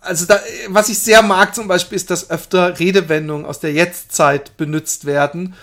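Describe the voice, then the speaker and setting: A man's voice: high-pitched, fairly even intonation, moderate pace, fairly bright tone. A man hosting a casual chat about films and series, rambling and playful, often breaking off mid-sentence. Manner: rambling, self-interrupting, playful. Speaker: podcast host.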